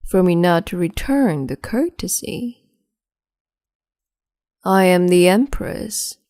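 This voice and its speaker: A woman voicing a cruel, capricious empress in an ASMR roleplay, speaking slowly and haughtily in a half-whisper close to the microphone. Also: fairly low pitch, darkish tone, no echo.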